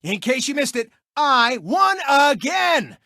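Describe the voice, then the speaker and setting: A gloating cartoon race car who hosts a sportscast in a video game. He is a smug, theatrical showman with a bright, brassy edge, and his pitch leaps around as he announces and taunts. The sound is close and dry. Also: sing-song, slow pace, no room sound, exaggerated voice. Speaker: sports show host and racer.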